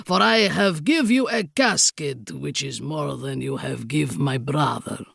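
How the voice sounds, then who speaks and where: A woman's voice: low-pitched, animated, gravelly, a bit bright, husky, no room sound, studio quality, pitched below the performer's own voice, exaggerated voice. A woman voicing a gloating, villainous count with a thick Eastern European accent, snarling ungrammatical threats in an audiobook. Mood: menacing. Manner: slow, sneering, snarling.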